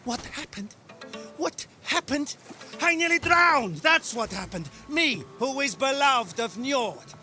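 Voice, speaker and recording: Eccentric seafarer, very high sharp voice, excitable, theatrical grumbler, game drama. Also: male voice, slow pace, soft background music, exaggerated voice. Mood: indignant. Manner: theatrical, aggrieved. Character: excitable, grumbling seafarer devoted to sea god. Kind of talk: cinematic game dialogue.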